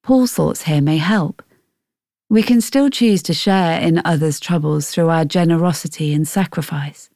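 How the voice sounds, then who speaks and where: A woman reading a scripted Bible devotional in a measured, reflective way. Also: sits low, a little mellow, dry recording, clean studio sound. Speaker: devotional reader. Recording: faint music behind.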